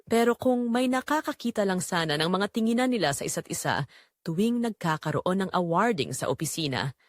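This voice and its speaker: A woman with a Filipino accent narrating a scripted romance story in an expressive, measured way with dramatic pauses. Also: fairly low pitch, dry recording, clean studio sound.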